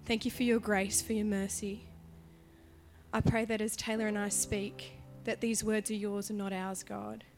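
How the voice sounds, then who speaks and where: A woman preaches from the front of a church, her voice partly worn out and strained from being sick. She talks in a chatty, lively way and leans hard into the words she wants to stress. Soft music plays faintly behind her. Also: mid-range voice. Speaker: worship leader giving a sermon. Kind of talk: sermon with prayer.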